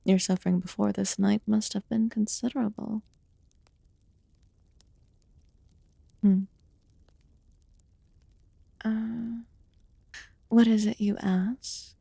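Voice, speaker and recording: A woman voicing an aloof, curious fae witch healer in a fantasy ASMR roleplay, speaking formally and softly, often dropping into a whisper. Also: medium pitch, fairly even intonation, gravelly, husky, no echo, studio quality, full character voice. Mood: grave, measured, then amused, curious. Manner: formal, archaic, deliberate phrasing.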